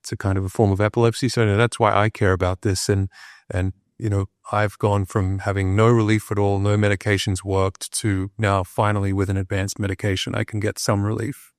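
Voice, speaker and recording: A man's voice: lowish voice, level tone, brisk, no room sound, studio quality. A man on a panel, speaking candidly about himself and repeating words as he goes. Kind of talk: panel introduction.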